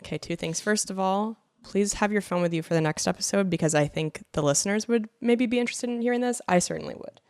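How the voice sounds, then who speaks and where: A woman's voice: medium pitch, darkish tone, clean tone, no echo, clean studio sound. A woman co-hosting a podcast while sick, nasal and stuffed up, laughing and teasing in casual chat. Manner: giggly, teasing, quick reactions. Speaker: podcast co-host.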